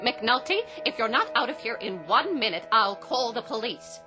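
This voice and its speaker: An officious secretary in an old-time radio play. She sounds flustered, high and animated. Music is clearly heard beneath her. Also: normal speed. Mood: threatening.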